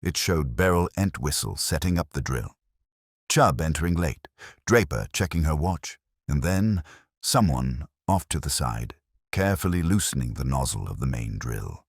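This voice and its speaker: A man reads a mystery story with a measured, deliberate delivery. His voice is extremely deep, yet it moves with lively intonation. The sound is close and dry, with no room around it. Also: breathy edge, no room sound. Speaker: audiobook narrator. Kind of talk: scripted mystery story narration.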